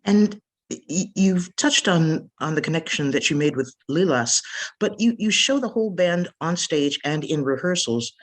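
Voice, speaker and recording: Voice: medium pitch, expressive, moderate pace. An interviewer for a film festival podcast, asking long, thoughtful questions with small restarts.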